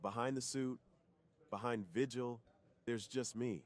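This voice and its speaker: A man acts as a secretive superhero off duty, with a mid-pitched voice. He is polite and earnest, confiding shyly and intimately in an ASMR roleplay. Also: fairly even intonation, a little slow, close to an everyday voice. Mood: apologetic, friendly.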